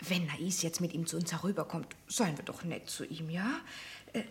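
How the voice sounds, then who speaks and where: A woman's voice: deep voice, steady tone, moderate pace, a little hiss or hum. An actress playing a naive, easily charmed society lady in a German radio drama, effusive and chatty. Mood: gushing.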